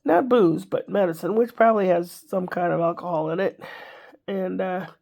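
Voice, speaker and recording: Older woman vlogger, congested, gravelly, rambling casual chat. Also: lowish voice, moderate pace, darkish tone. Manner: rambling, chatty, wry asides.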